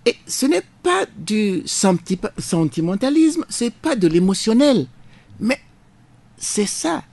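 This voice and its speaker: Passionate man, high lively voice. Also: slow pace, creaky, no echo. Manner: passionate, emphatic, preacherly cadence. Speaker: guest, jurist. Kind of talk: radio debate. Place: radio studio.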